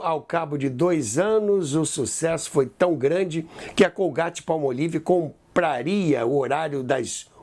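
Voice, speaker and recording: Animated Brazilian man telling stories, higher-pitched. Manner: animated storyteller, rhetorical questions and asides. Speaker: podcast host. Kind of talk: conversational storytelling about radio history.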